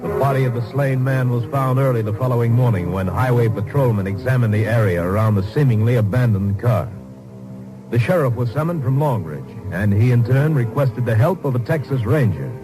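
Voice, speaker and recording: An American man narrating a 1950s radio crime drama in a formal, solemn, measured style. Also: medium pitch, expressive, music in the background, natural voice, poor audio.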